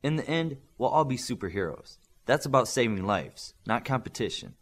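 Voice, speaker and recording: A man's voice: medium pitch, very animated, normal speed. A man voicing a firm, serious young superheroine who leads her team, in an audiobook. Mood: earnest.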